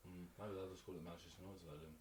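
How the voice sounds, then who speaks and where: A young man from England with a lowish voice laughs easily and swears on a casual football podcast. He sits back from the mic in an echoey room. A faint hum of ambience runs behind him. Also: steady tone, rapid-fire, a little mellow. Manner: giggly, easily amused, sweary. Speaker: podcast co-host. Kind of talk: casual chat. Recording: roomy, distant mic.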